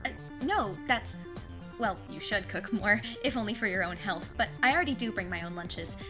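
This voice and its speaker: A woman playing a plucky, earnest fifteen-year-old witch's apprentice in an audio drama, with a husky voice and formal, precise wording. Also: mid-range voice, expressive, normal speed, over music, close to an everyday voice. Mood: matter-of-fact. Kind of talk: scripted audio drama acting.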